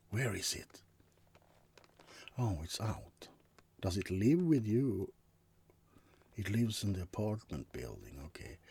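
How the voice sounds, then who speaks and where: Friendly centuries-old male vampire played by a man for ASMR roleplay: soft, breathy, unhurried, swinging delivery, slangy and hesitant. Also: deep voice, exaggerated voice. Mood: friendly, easygoing. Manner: rambling, slangy, hesitant, chuckling asides. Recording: faint background noise.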